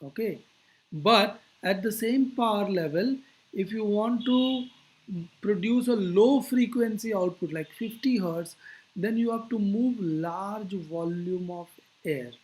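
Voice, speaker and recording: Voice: medium pitch, darkish tone, poor audio. Physics teacher explaining step by step. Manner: didactic, deliberate phrasing with rhetorical questions. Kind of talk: explanatory lecture.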